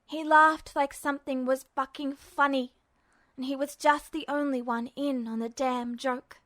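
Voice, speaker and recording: Female fiction narrator, high register, dramatic audiobook delivery, dry recording. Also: fairly even intonation, a little slow, a bit bright, no echo.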